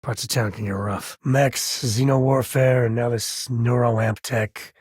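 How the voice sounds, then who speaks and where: A folksy space frontier companion for a game, husky mid-range voice, wry, conversational. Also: male voice, mid-range voice, fairly even intonation, unhurried, a little mellow, no room sound, clean studio sound. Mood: disgusted, serious. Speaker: video game voice actor. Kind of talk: video game character dialogue.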